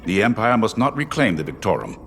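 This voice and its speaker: A stern rebel commander with a low, weighty voice. He speaks like a measured military officer in a game cutscene, clipped and authoritative. Cinematic music runs underneath. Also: very animated, creaky. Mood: urgent, grave. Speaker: squadron commander giving briefings. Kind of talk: scripted cinematic game acting. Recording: loud orchestral score behind.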